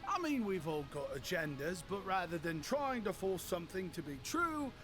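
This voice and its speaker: An actor playing an eager, flattering, easygoing young shopkeeper in a video game, effusive and smooth-talking. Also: male voice, high voice, normal speed. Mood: breezy, obliging. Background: game music.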